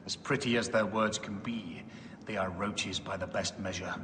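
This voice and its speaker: A man's voice: sits low, steady tone, normal speed, close to an everyday voice. A man playing an urbane, arrogant middle-aged Roman ruler in a video game cutscene. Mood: wry, smug. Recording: long hall reverb, faint background noise.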